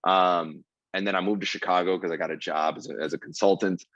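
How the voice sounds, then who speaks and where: A young man from Ohio with a very low voice talks earnestly about his path, padding his sentences with fillers. The sound is dry and a little dull, like a close podcast mic with the top end rolled off. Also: fairly even intonation, fast, fairly bright tone, no echo. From Ohio, USA. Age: 20s. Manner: earnest storytelling with many fillers. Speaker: guest comedian. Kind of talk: casual podcast interview.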